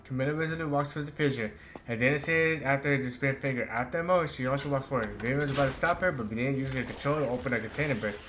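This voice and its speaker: A man's voice: mid-range voice, rapid-fire, fairly bright tone, soft background music. A man giving casual live commentary as a game streamer, informal, blunt and slangy. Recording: noisy stream with game sound behind.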